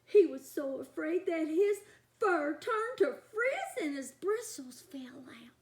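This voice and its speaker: Children's story reader: woman, high voice, lively melodic range, expressive delivery with chatty asides. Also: takes it slowly. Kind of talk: animated read-aloud for young children.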